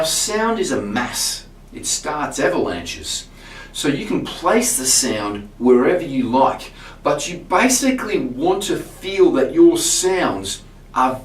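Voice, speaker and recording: A man, a singing teacher, explaining vocal technique to camera in a relaxed way, often restarting his words. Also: a little high. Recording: roomy sound from a distance.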